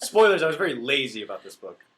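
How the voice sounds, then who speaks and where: A man's voice: medium pitch, big pitch swings, quick pace, crowd noise. Laughing, blunt male podcast host. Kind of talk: casual chat.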